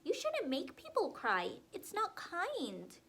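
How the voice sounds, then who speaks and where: A woman's voice: very high voice, huge pitch swings, slow pace, fairly bright tone, voice pushed up, full character voice. A woman doing a kind little girl. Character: kind gentle young girl.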